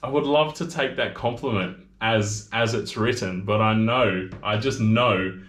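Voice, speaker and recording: A man's voice: lowish voice, measured pace, a bit bright, reverberant. An Australian man in his thirties chatting casually on a livestream, rambling between topics and reading out viewer comments, picked up by camera audio in his workshop. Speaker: maker hosting a livestream.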